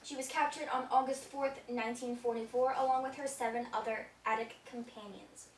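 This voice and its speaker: A woman's voice: on the high side, steady tone, a little fast. A young woman co-anchoring an amateur mock newscast in a theatrical, scripted style. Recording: echoey room, distant, some noise.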